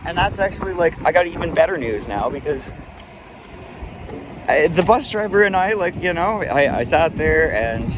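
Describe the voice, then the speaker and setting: A man's voice: on the high side, expressive, normal speed. A man vlogging outdoors at a bus stop, talking in an indignant, rambling way full of restarts. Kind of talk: rambling vlog monologue. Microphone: handheld camera. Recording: clear street traffic noise. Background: passing road traffic.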